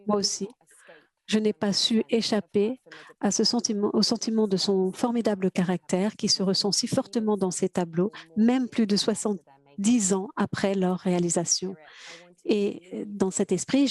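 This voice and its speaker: A woman's voice with a dark, mellow tone and middling pitch, working as a simultaneous interpreter at a conference. She speaks in bursts, stopping to restart phrases and fill gaps with hesitations. She comes through a video call. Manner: halting, with frequent restarts and fillers. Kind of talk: simultaneous interpretation of an art history talk.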